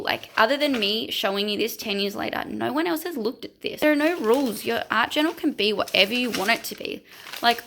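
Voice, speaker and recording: A woman, chatting casually and self-mockingly as an art vlogger while going a little hoarse. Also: fairly high pitch, sharp tone, slight background noise. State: losing her voice. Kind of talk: casual vlog commentary.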